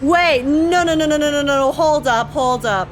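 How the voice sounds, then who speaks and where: Young streamer girl reacting to a game, high voice, playful, expressive, faint music behind. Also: moderate pace. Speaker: gaming streamer. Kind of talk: live game reaction commentary with dramatic reading aloud.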